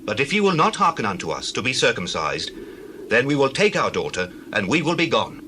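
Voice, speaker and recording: A man's voice: mid-range voice, big pitch swings, brisk, sharp tone, slight background noise. An actor playing an impassioned man of ancient times in a dramatized scripture reading, emphatic and declamatory. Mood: indignant, bargaining. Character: impassioned male suitor or brother in ancient times.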